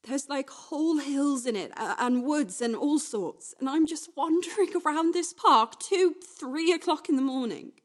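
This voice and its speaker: A woman playing a restless, wistful young woman in a confessional radio play monologue, speaking naturally in halting, repetitive phrases. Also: high voice, natural voice. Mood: agitated, giddy. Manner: halting, repetitive, swinging from hushed to agitated.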